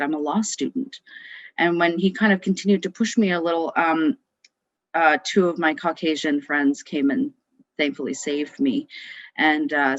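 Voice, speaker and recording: A woman's voice: sits low, no room sound, dull audio. A woman in her twenties telling a personal story informally, hesitant and full of ums. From Canada. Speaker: law student.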